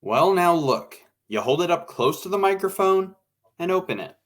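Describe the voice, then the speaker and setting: A man's voice: high-pitched, lively intonation, moderate pace, fairly bright tone. A man playing a bossy, impatient radio show producer in a 1940s-style radio play. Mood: instructing. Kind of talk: old-time 1940s radio play acting.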